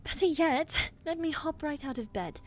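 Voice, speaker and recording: Woman playing fierce evil queen, high voice, commanding, medieval fantasy roleplay, noisy ambience behind. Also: normal speed, close to an everyday voice. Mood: imperious anger. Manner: imperious, threatening, softening into tenderness. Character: fierce commanding young evil queen, tender toward her husband.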